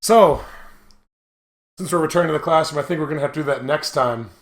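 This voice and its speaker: A man's voice: fairly high pitch, quick pace, echoey room. A man co-hosting a gaming show, reading aloud and bantering casually in a crude, jokey manner.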